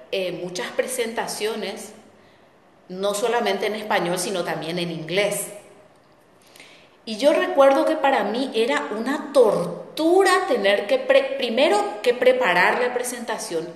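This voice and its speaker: Middle-aged woman host, reflective storyteller, slightly high voice, echoey reverberant room. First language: Spanish. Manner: reflective, warm storytelling with repetitions. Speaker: host, spiritual coach. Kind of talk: personal testimony talk.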